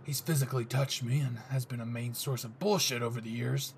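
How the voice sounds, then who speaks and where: A man voicing an obsessive, threatening young male anime hero in a low, growling audio roleplay performance. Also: fairly even intonation, fairly quick, a little hiss or hum, close to an everyday voice. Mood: possessive, smug.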